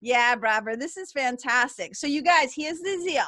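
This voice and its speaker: A middle-aged woman with a Boston accent, co-hosting a live webinar and chatting warmly with viewers in the chat. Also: sits high, animated, normal speed, no room sound, studio quality. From Massachusetts. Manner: bubbly, chatty, enthusiastic. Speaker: webinar co-host.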